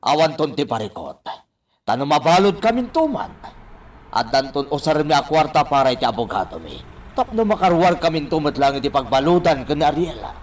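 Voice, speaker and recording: A man's voice: a little high, big pitch swings, a little fast. A man acting as a desperate, scheming character in an Ilocano radio drama, insistent and persuasive with an Ilocano accent. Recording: faint background noise.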